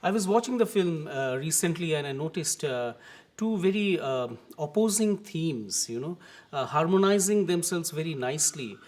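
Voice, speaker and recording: A man with an Indian English accent, hosting formally and asking measured questions about a film. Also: on the high side, animated, darkish tone. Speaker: interviewer, host. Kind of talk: formal introduction and interview questions.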